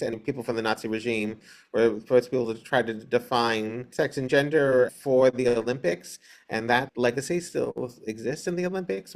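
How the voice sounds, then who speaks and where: Halting man with a medium-pitched voice. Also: creaky. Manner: hesitant, halting delivery with many pauses. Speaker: theater director, interview guest. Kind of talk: casual explanatory interview talk.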